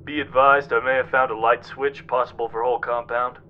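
A man's voice: medium pitch, flat delivery, a little fast, lo-fi sound. A man playing a wary soldier reporting over a military radio in an audio drama. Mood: wary, businesslike. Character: wary Canadian soldier exploring a cave by radio. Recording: faint radio static and noise.

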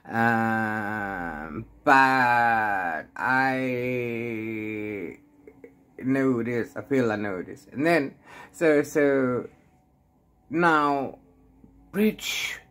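A man in his forties giving a casual, unscripted book chat, rambling in an animated way with exasperated asides. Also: medium pitch, very slow, long pauses, smooth. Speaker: book reviewer vlogger. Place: small room at home with bookshelves.

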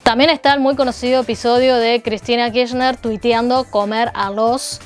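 A young woman hosts a casual explainer video. Her voice sits a little high, and she drops wry asides into the chat. Soft music plays underneath. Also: fairly even intonation, moderate pace. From China. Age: young adult. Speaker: host. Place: indoor room. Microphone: lapel.

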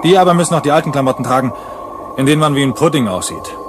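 An actor as a folksy gate guard, loud hum behind. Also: male voice, on the high side, natural voice. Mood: reflective, unsure. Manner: colloquial, folksy, halting. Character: plain-spoken, hesitant male security guard at a gate. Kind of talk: German radio play acting.

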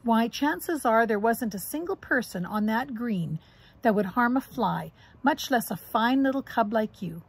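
A middle-aged woman voicing a caring, patient storybook mother bear who gently explains and reassures. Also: a little high, expressive, a little slow, creaky, slightly rough, exaggerated voice. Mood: patient, reassuring.

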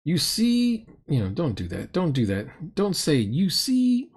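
A middle-aged man with a husky voice delivers casual commentary as a reacting host. His pitch swings widely as he makes exasperated, mocking points with strong emphasis. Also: huge pitch swings, unhurried. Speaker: host reacting to a game recording. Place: dim room indoors.

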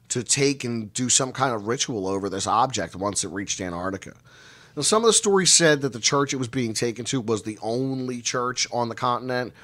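Man, middle-aged, lower pitch, conversational storyteller, measured delivery, dramatic pauses. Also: fairly bright tone. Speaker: guest storyteller and researcher of mysteries. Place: indoor room, video call.

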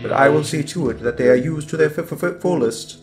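A man voicing a coldly superior, menacing robot overlord in a roleplay monologue, with deliberate delivery and stuttering glitches on words. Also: fairly high pitch, over music, close to an everyday voice. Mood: calm, superior.

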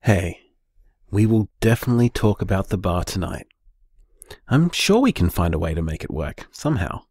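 A devoted, reassuring boyfriend in an audio drama. He speaks gently and sincerely in a dry, close recording. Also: deep voice, big pitch swings, normal speed, slightly rough, no echo, exaggerated voice.

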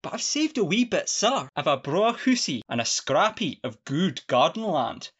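A man's voice: a little high, big pitch swings, moderate pace, fairly bright tone, slightly rough, heavily acted. Guy doing a soppy young scottish farm lad, broad dialect, radio play, dull sounding recording. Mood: tender, earnest. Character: good-looking young peasant lad, earnest, sentimental, devoted. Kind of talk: farcical radio play acting.

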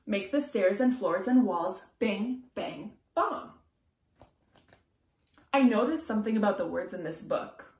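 Slow, sing-song woman reading to children, roomy. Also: medium pitch, animated, a little mellow. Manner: rhythmic, sing-song, emphatic on sound words. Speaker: preschool teacher reading storytime. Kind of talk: expressive read-aloud for young children. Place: small room at home, indoors. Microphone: camera a few feet away. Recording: roomy echo from camera distance.

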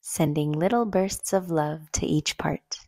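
A woman leading a guided meditation in a gentle, soothing, unhurried way, leaving long pauses between short phrases. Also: low-pitched, no room sound, clean studio sound. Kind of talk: slow guided meditation narration.

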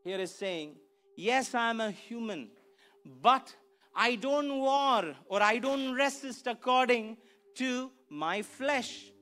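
A man's voice: very high-pitched, expressive, slow pace, bright, forceful tone. A middle-aged man preaching a church sermon into a handheld microphone, emphatic and repeating phrases to drive them home. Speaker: pastor preaching. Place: church stage, indoors.

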